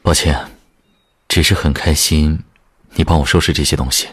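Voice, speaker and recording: An actor playing a composed company boss in an audio drama, speaking in a measured, restrained and terse way. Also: male voice, a bass voice, steady tone, breathy edge. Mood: intimate. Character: composed, devoted male company boss in love.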